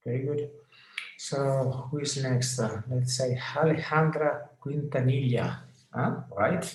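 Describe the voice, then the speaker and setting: A man's voice: mid-range voice, a little slow. A man leading an online class, talking unhurriedly with many fillers. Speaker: lecturer. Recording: echoey video call with hiss.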